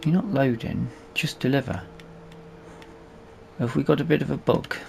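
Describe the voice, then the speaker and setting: A man's voice: mid-range voice, normal speed, gravelly, a little mellow, a little hiss or hum. A man giving casual let's play commentary on a video game, muttering to himself as he plays. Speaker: gaming video host.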